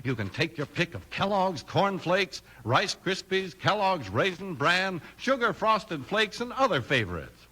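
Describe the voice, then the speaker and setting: A man's voice: high voice, big pitch swings, unhurried, sharp tone, breathy edge. An American man announcing and reading sponsor copy in a polished, emphatic 1950s radio style. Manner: polished, emphatic sales delivery. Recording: old radio transcription with music.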